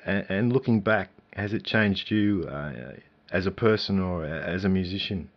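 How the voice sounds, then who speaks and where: A man's voice: sits low, normal speed. A man hosting a radio interview, asking casual, hesitant questions with many fillers. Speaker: radio host. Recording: dull lo-fi radio audio.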